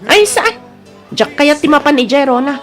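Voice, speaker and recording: A woman's voice: high voice, lively intonation, moderate pace, faint music behind. A woman acting a proud, suspicious, jealous wife in an Ilocano audio drama, with a Filipino accent. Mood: guarded, casual.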